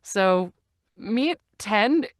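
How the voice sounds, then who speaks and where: A woman's voice: mid-range voice, very slow, no echo, studio quality. A woman in her forties, an actor and narrator, chatting openly in an interview and laughing often. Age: 40s. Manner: laughs often, animated and open. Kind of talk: casual interview chat.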